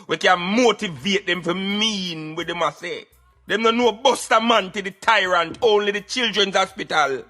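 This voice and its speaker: A man's voice: high voice, moderate pace, pushed and bright, faint music behind. A Jamaican man hosting a talk radio show, giving emphatic, preaching commentary in Patois.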